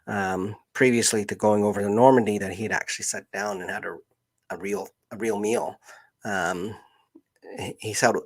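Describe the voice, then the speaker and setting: A man talks informally on a live stream, as a host telling stories. His voice sits fairly low and has a husky grain. He speaks haltingly, circling back to restart and repeat his phrases. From Canada.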